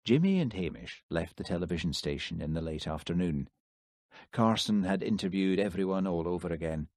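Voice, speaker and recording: A man narrating a crime novel as an audiobook in a steady, even way. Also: deep voice, fairly quick, no room sound, studio quality. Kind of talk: scripted narration.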